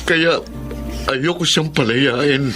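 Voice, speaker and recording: An actor playing an obsessive, guilty villainous uncle in a Filipino radio melodrama, with a Filipino accent. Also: male voice, fairly high pitch, extremely slow, a bit bright, faint music behind.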